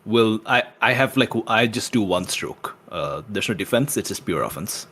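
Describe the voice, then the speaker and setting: A man's voice: on the low side, lively intonation, moderate pace, bright, forceful tone, a little hiss or hum. A man chatting casually as a player in a tabletop roleplaying game, thinking aloud about his moves.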